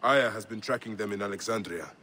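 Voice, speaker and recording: A man's voice: on the low side, quick pace, sharp tone, husky. A man playing a grave, resolute, vengeful ancient Egyptian warrior in a dramatic video game. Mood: grave, determined. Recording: music behind the voice.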